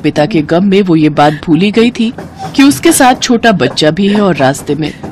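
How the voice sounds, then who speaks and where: A woman narrating a scripted moral story in an expressive storytelling manner. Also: fairly low pitch, moderate pace, a little hiss or hum.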